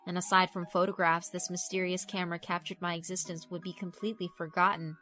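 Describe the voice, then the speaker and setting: Woman narrator reading a horror story, lowish voice, soft music underneath. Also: flat delivery, fast. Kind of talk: scripted first-person horror narration. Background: background music.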